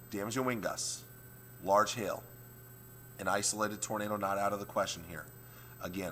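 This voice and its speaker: Hoarse male TV meteorologist, very deep voice, faint hum behind. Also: a bit bright. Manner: explains maps step by step, repeats points. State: hoarse, losing his voice. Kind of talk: morning weather forecast.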